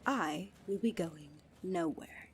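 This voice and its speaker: A woman's voice: fairly low pitch, takes it slowly, slightly rough, exaggerated voice. A woman playing a loyal, guarded royal knight in a fantasy audio drama, speaking formally and with composure. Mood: firm, guarded. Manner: formal, composed, coolly defiant. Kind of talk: fantasy audio roleplay acting.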